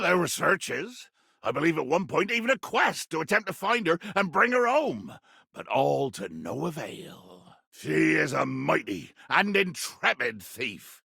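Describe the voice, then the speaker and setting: A raspy, blustering pirate captain for a video game, pitched high for a male voice and swinging widely in tone. He speaks in grand, pompous seafaring phrases, proud and hungry for adventure, with a heavy gravelly edge. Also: bright, forceful tone, no echo, clean studio sound, exaggerated voice. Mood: grave, intrigued. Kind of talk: video game character acting.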